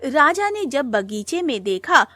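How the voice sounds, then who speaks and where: A woman's voice: high-pitched, big pitch swings, a little slow, sharp tone. A woman narrating a scripted cartoon moral story. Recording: music under the voice.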